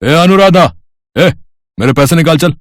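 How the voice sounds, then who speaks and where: A man plays a menacing moneylender villain in an animated moral tale. He is lecherous, demanding and bullying, in a dry recording with no room sound. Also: on the high side, normal speed, no room sound, faint music behind, lightly acted. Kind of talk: animated Hindi moral story acting.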